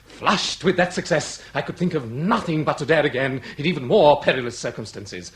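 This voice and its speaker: A man's voice: fairly high pitch, expressive, fairly quick, slight background noise, natural voice. A man acting a proud, cold-blooded aristocratic hunter villain in a period radio melodrama, declaiming in florid formal phrases. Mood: grandiose confession. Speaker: actor.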